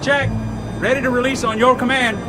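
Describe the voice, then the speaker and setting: High-voiced cocky young-gun airman. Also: little pitch movement, brisk. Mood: tense, alarmed. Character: cocky, wisecracking American airman, the co-pilot. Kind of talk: radio drama acting. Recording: loud music and engine drone behind. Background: loud music, aircraft engine noise.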